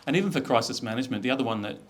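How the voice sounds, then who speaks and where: A man's voice: medium pitch, animated, racing, slight background noise. A middle-aged Australian man giving an informal business presentation in a conversational, explanatory way. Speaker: media executive presenting.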